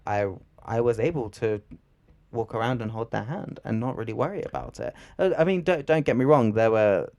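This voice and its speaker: A man's voice: medium pitch, brisk, a little mellow, dull audio. A young man co-hosting a podcast, talking in a rambling, laid-back way with lots of fillers. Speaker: podcast co-host. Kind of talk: casual chat.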